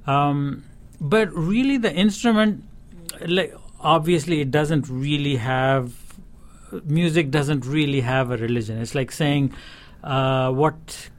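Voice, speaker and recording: Thoughtful man with a Pakistani accent. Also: fairly high pitch. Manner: halting, thoughtful, explanatory. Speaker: studio guest, sitar player. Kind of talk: conversational interview answers. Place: radio studio. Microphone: studio broadcast microphone.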